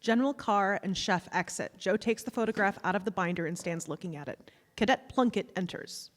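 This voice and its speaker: A woman's voice: mid-range voice, a little fast. A woman reading stage directions as scripted narration at a live play reading. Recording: live theatre, long hall reverb.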